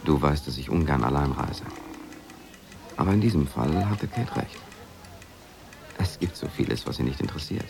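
An actor in a radio drama playing a cultured, lonely husband, narrating in a measured, reflective way. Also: male voice, a bass voice, lively intonation, creaky, background noise, full character voice. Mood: wistful, reflective. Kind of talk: 1980s German radio drama monologue. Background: music.

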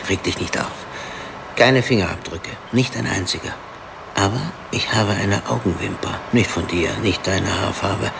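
An actor playing a teasing, unhurried police forensic expert in a radio drama, talking in a wry, easygoing way. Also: male voice, lowish voice. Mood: wry, factual. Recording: clearly noisy background ambience.

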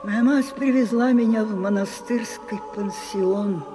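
An actress in a radio play, playing an imperious, capricious ageing stage diva with a theatrical, commanding, husky delivery. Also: female voice, medium pitch, unhurried, over music, lightly acted. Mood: brooding, dramatic.

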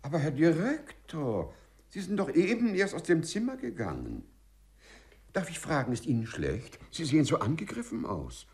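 A man's voice: fairly high pitch, huge pitch swings, normal speed, a little mellow. An actor in a 1950s German radio play, playing a mischievous, outwardly servile male ministry clerk with a theatrical manner. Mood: servile, polite. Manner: theatrical, obsequious and mocking by turns.

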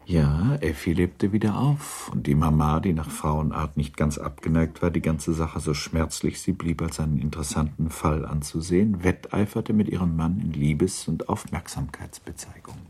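A man narrating a literary radio drama in a measured, restrained storytelling manner, with a mellow, husky voice. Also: very deep voice.

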